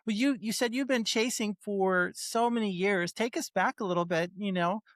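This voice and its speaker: A woman hosts a casual podcast interview, eager and quick to react to her guest. Her questions come out loose and conversational. The sound is dry and close, with no room around it. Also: medium pitch, dry recording. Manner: enthusiastic, reacts with short interjections.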